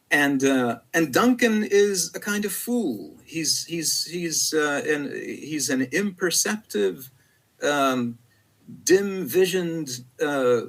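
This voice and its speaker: A man, a poet whose first language is Italian, speaking reflectively in an interview with frequent pauses, fillers and repeated words. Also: on the high side, a bit bright.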